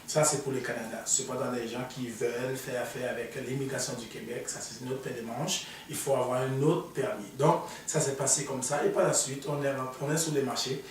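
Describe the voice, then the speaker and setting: A man's voice: mid-range voice, steady tone, fairly quick, hardly any pauses, a little hiss or hum. A man, an immigration consultant on a studio panel, talking through his own path with many fillers. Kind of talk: explaining on a panel. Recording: echoey room, mic far off.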